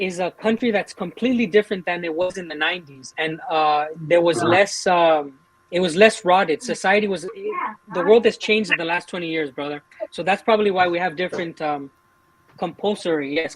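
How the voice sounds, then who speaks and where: Veteran, 30s, high voice, blunt and repetitive. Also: male voice, a little fast, poor audio. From Mexico. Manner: blunt, insistent, repeats himself. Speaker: military veteran. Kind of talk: heated live panel debate.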